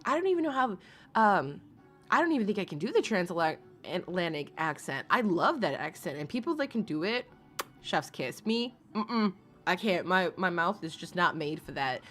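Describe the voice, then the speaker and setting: A woman's voice: fairly low pitch, big pitch swings, moderate pace, a bit bright, faint music behind. A woman commenting casually to her live chat, rambling and laughing often, with asides to viewers. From Alaska. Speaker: commentary streamer.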